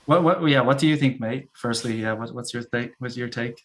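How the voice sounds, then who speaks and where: A man's voice: mid-range voice, a little fast. A man who teaches English, chatting casually and enthusiastically, often repeating words as he works out his thoughts.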